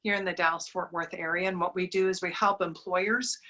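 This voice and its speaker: Woman, Wisconsin accent, middle-aged, bright tense tone, upbeat podcast guest. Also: lowish voice, fairly even intonation, brisk, muffled recording. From Wisconsin, USA. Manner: upbeat, talkative, frequent affirming murmurs. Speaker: guest, diversity recruiting business owner. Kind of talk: podcast interview.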